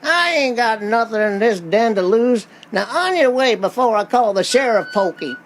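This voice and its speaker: A man's voice: extremely high pitch, very animated, normal speed, a little hiss or hum, voice pushed up, heavily acted. A man playing a curt, scolding, folksy lunchroom owner in a radio play. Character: gruff, irritable rural male roadside lunchroom owner, married.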